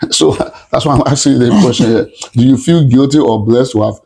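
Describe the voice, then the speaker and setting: A man's voice: mid-range voice, normal speed, vocal fry, warm tone, breathy edge. A male host who asks interview questions and restates them in a rambling way.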